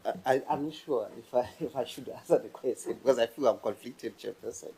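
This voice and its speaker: A middle-aged man, answering a committee as a company finance officer in halting, formal speech with many restarts. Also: mid-range voice, vocal fry, dark tone, slight background noise, poor audio. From Zimbabwe. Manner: hesitant, restarting and stammering, formal. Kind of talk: testimony before a committee.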